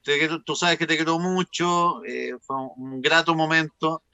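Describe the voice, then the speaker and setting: A Chilean man hosts a casual interview. His voice is bright and sits a little high, captured in a very dry sound. He talks in a chatty, affectionate and informal way. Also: fairly even intonation, moderate pace, no echo. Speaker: program host.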